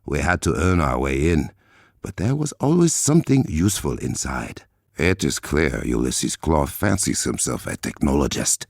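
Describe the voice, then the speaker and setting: A man playing a noble, resolute warrior king in a video game, with an African accent, a deep gravelly voice and formal, measured diction. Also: dry recording, clean studio sound, full character voice.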